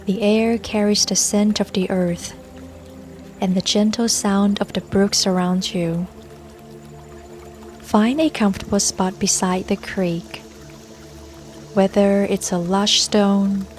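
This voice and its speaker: Female meditation guide, mid-pitched, very unhurried and gentle, scripted calming read over soft music and water. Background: soft music and babbling brook sounds.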